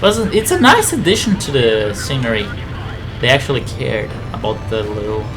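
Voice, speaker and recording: Male gaming commentator, average pitch, informal and explanatory, talking over prominent game music. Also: very animated, moderate pace. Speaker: video game player and commentator. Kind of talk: casual gameplay commentary. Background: game music and aircraft sounds.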